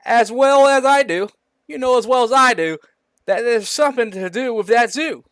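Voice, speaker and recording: A man's voice: medium pitch, expressive, moderate pace, a bit bright, dry recording, voice pushed down, natural voice. A man voicing a frustrated small-town hillbilly sheriff, ranting emphatically as he reads out a comic story. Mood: angry. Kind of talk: hammy reading of a comic story.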